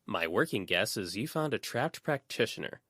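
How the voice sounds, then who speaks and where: A man's voice: on the low side, very animated, moderate pace, pushed and bright, slightly rough, no echo, studio quality, voice pushed up, exaggerated voice. A man voicing a speculative teenage schoolgirl who theorises eagerly in an audiobook. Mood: speculating. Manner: musing, theorising.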